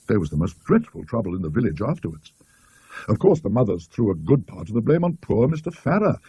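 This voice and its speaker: A man's voice: medium pitch, slightly rough, dry recording, muffled recording. A British man voicing an indignant, gossipy country lady in a storybook, emphatic and disapproving.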